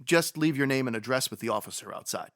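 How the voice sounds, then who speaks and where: A no-nonsense police sergeant played by a woman in a radio mystery. The voice is extremely low and creaky, rattling through firm, matter-of-fact questions at a quick clip. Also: lively intonation. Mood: questioning, firm. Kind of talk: radio detective drama acting.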